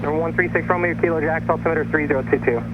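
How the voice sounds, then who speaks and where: A man's voice: on the high side, fairly even intonation, very fast, narrow, tinny audio. A man giving procedural instructions as an air traffic controller over aviation radio.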